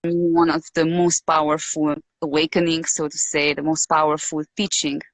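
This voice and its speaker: A woman with a Hungarian accent, raised in Romania, talks slowly through her thoughts as an interview guest. Her voice sits a little low and is recorded dry and close, with no room sound. She hesitates often, repeats words and searches for the right phrase. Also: level tone, dry recording. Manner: hesitant, searching for words with many pauses and fillers. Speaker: podcast guest. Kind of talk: reflective interview talk.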